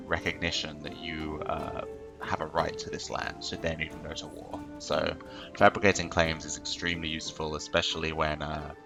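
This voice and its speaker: A man's voice: a bass voice, creaky. A man hosting a casual gameplay tutorial, explaining loosely with lots of fillers at a desk microphone. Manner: rambling, filler-heavy explaining. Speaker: let's play host.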